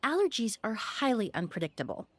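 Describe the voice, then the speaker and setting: A woman playing a composed, polite young expert in a video game, explaining things in a measured, precise and modest way. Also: a little high, big pitch swings, husky, dry recording. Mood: curious, measured.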